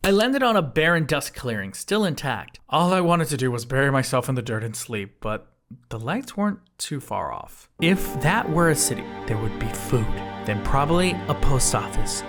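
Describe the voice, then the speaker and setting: A flippant slime god, as a performer acts it out, narrates an audio drama about drifting through space. The delivery is conversational and boastful with a deadpan edge, and the mid-pitched voice moves up and down a great deal. Music plays clearly underneath. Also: moderate pace. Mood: wry, matter-of-fact.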